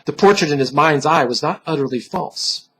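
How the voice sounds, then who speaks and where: A man giving a dramatic, solemn reading of a dark fantasy story, theatrical and deliberate, with pauses for effect and a husky voice. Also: fairly high pitch, rough recording. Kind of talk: dramatic scripted narration.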